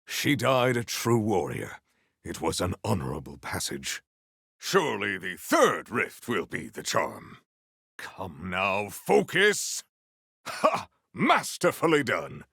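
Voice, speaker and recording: A proud warrior from a video game, with a low voice that swings widely in pitch. His diction is formal and stately, and he carries himself as righteous and eager for battle. The sound is gravelly and very dry. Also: huge pitch swings, slow pace, bright, forceful tone, no room sound, exaggerated voice. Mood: solemn, resolute, then rousing. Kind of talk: video game character voice lines.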